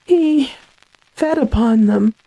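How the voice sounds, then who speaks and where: A woman playing a haunted, hesitant young heiress in a radio horror drama, speaking in a halting, dramatic way. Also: fairly high pitch, gravelly, mellow, no echo, heavily acted, poor audio. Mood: haunted, ashamed.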